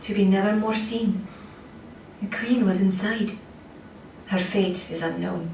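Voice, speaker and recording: A woman's voice: mid-range voice, measured pace, creaky, darkish tone, a little hiss or hum. A woman playing a solemn storyteller recounting a legend in an audio drama. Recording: echoey distant playback with music.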